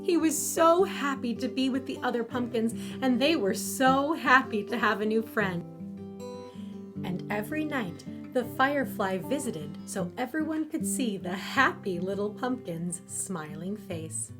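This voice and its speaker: A woman narrating a scripted children's story in an expressive, deliberate storytelling manner. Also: a little high, over music.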